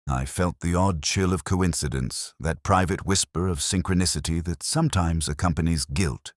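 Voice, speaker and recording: Brief: a man with a rumbling, very low voice, narrating a detective story in first person with measured, reflective delivery, recorded dry and close. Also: no room sound.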